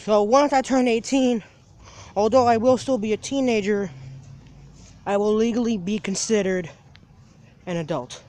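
A man's voice: high-pitched, moderate pace, pauses often, darkish tone. A seventeen-year-old young man vlogging outdoors by a parking lot, talking into a phone held at arm's length. He rambles, repeats himself and restarts his sentences. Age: teens. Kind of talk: casual daily vlog.

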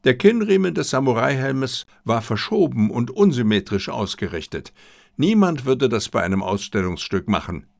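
An unruffled male detective , as a man reads him in an audiobook. He explains with playful self-assurance, his pitch moving freely over a gravelly, creaky base. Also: sing-song, moderate pace. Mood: assured. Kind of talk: audiobook character dialogue.

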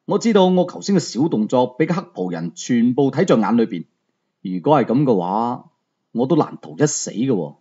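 A man's voice: mid-range voice, big pitch swings, moderate pace, warm tone, breathy edge, lo-fi sound. A man with a Hong Kong Cantonese accent narrating a novel as a dramatic storyteller. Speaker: audiobook storyteller.

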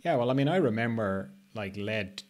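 A man in his sixties hosting a casual interview over a video call, talking in a halting, conversational way. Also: lowish voice, lively intonation, darkish tone. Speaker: podcast host.

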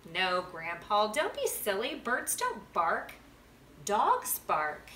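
A woman's voice: mid-range voice, wildly swooping pitch, takes it slowly, pushed and bright, recorded from a distance, full character voice. A woman reading a storybook as a curious, giggly young boy with eager questions. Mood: playful. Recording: roomy home recording with background noise.

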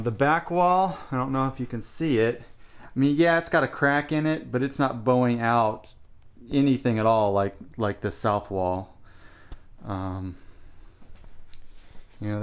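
A man giving a casual, unscripted walkthrough while filming indoors, thinking aloud in halting short phrases. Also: lowish voice. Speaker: person filming a home repair walkthrough. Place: indoors, house basement with block walls. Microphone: handheld camera, held by the speaker.

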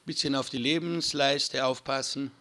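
A hoarse man, chatting casually to viewers while commentating his own gameplay. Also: mid-range voice, steady tone, normal speed, pushed and bright. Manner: chatty, addresses viewers as friends. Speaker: gaming channel host. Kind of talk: casual let's-play commentary.

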